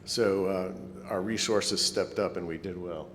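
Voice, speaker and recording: A man's voice: fairly even intonation, a little slow, a bit bright. A man giving an informal, slightly hesitant club presentation in a meeting hall. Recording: echoey hall, rumbling background noise.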